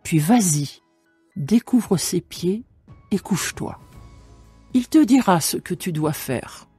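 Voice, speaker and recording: A woman playing an older, caring mother-in-law who gives slow, gentle counsel in a dramatised Bible reading. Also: lowish voice, animated, breathy edge, faint music behind, heavily acted. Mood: tender counsel. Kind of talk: dramatised scripture reading in French.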